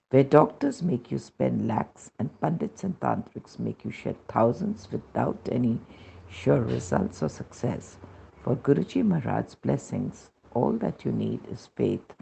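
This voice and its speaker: A man with an Indian accent reads a scripted devotional testimony in a measured, solemn manner, on a dull recording. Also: mid-range voice, gravelly, mellow, a little hiss or hum.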